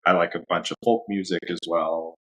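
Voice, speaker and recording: A man, a dog trainer, chatting casually as a podcast guest in a relaxed, rambling way, laughing often. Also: low voice, fairly quick, low-quality recording. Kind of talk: casual interview chat.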